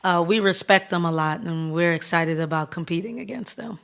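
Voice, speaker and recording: A woman coach in her thirties from the Bahamas, answering press questions in an upbeat, candid way with quick restarts. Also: fairly low pitch, gravelly. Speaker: head basketball coach.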